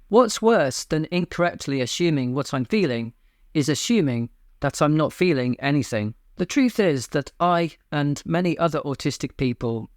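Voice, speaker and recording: A man hosting his own channel, speaking in a flat, deadpan monotone in a scripted personal monologue. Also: a little high, no room sound, studio quality. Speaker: YouTube channel host. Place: home.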